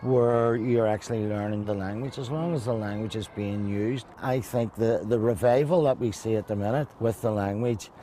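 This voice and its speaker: A man from Belfast with a Northern Irish accent, answering interview questions calmly and thoughtfully, outdoors in the city. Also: lowish voice, moderate pace, hardly any pauses, a little hiss or hum. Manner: calm, thoughtful, with small hesitations.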